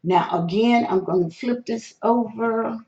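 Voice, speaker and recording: A hoarse, gravelly host walks slowly through number charts, stopping often to restart a thought. The tone is dark and mellow. The recording sounds dull and lo-fi. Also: medium pitch. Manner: slow, step-by-step explaining with hesitant restarts. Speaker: lottery number tutorial host. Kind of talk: screen walkthrough of number charts.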